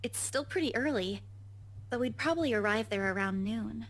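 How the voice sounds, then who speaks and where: A woman's voice: fairly high pitch, expressive, normal speed. A woman playing an anxious, caring and proper young woman in a visual novel game. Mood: concerned.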